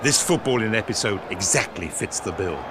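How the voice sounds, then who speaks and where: An actor playing a gruff, opinionated senior government official in a period audio drama, clipped, dry and authoritative. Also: male voice, on the low side, animated, fairly quick, natural voice. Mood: brisk, cautioning. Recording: outdoor sports crowd and noise behind.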